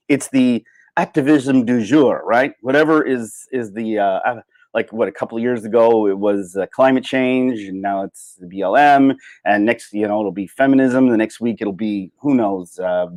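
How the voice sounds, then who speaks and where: A man giving a casual, opinionated livestream rant, sardonic and emphatic, with halting starts and repeated phrases. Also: mid-range voice, a little mellow. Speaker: livestream host.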